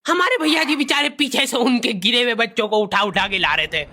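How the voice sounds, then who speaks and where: A man narrating a comic first-person cartoon story in an exaggerated way, his voice sounding artificially pitched up. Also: a little fast, pushed and bright. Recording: faint background music.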